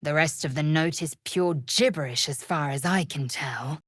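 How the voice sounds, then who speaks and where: A woman's voice: low-pitched, moderate pace, slightly rough, no room sound, clean studio sound. An actress playing a fierce, proud alien warrior woman in a video game, speaking in a clipped, imperious, scornful way. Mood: brooding, appraising. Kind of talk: video game character voice lines.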